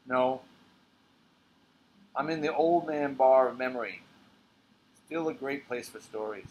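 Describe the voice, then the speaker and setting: A man's voice: mid-range voice, fairly bright tone, poor audio. A man, the playwright, giving a scripted dramatic reading of his own play. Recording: clear background noise.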